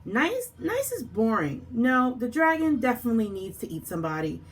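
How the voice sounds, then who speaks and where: Touchy impatient little monster lady acting it, high and jumping all over, storybook. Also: sing-song, normal speed. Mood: insistent. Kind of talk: picture book read-aloud.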